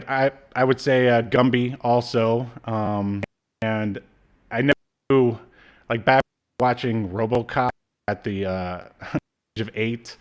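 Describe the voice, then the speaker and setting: A man in his thirties co-hosting a podcast from home, chatting casually and hesitantly. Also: fairly low pitch. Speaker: podcast co-host. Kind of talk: casual chat reviewing old media. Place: indoor room at home, video call.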